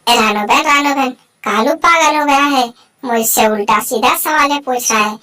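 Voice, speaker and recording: Woman voicing an exasperated, high-voiced cartoon crow wife. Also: normal speed, bright, forceful tone. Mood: worried. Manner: repetitive, complaining. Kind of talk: Hindi animated bird story dialogue.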